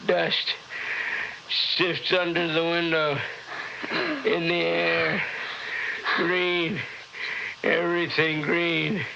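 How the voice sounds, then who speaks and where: An actor playing a dazed, bitter young husband in a 1960s horror radio drama, speaking in halting, broken phrases. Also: male voice, fairly high pitch, flat delivery, creaky, pushed and bright, exaggerated voice. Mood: dazed, haunted. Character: dazed, bitter honeymooning husband trapped in a cabin. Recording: dull, noisy old radio recording.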